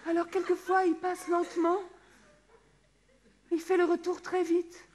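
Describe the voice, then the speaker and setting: A woman's voice: extremely high pitch, flat delivery, measured pace. A middle-aged woman performing a wistful theatrical monologue on stage, recorded from a distant camera in the hall. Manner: dreamy, wistful, drifting into hushed murmurs. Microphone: far camera in the audience.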